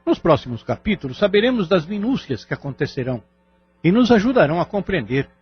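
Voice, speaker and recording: Male commentator, fairly high lively voice, formal, explanatory, scripted, dull sound with music behind. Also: fast, husky. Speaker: narrator-commentator.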